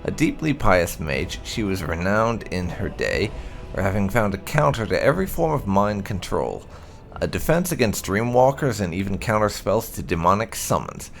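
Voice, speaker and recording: Male let's-play presenter, slightly low voice, animated intonation, deliberate halting phrasing. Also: creaky, faint music behind. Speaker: gaming video host. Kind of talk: casual let's-play commentary.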